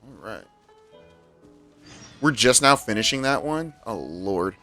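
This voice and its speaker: A man's voice: on the low side, big pitch swings, slow pace, bright, forceful tone, soft background music. A man giving casual livestream commentary on a game, musing and hesitantly thinking aloud into a close mic. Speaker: game streamer. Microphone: close streaming mic.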